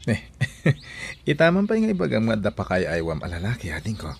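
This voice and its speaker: A man's voice: fairly low pitch, huge pitch swings, a little fast, gravelly, slight background noise, full character voice. A man with an Ilocano accent playing a kindly, teasing older-brother figure in a rural radio drama. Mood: kindly, inquiring. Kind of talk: Filipino radio drama acting.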